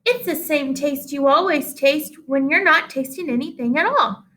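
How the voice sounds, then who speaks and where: A woman's voice: high voice, normal speed, bright, forceful tone. A woman reading a storybook as a caring, eager, earnest female schoolteacher. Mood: realising, explaining.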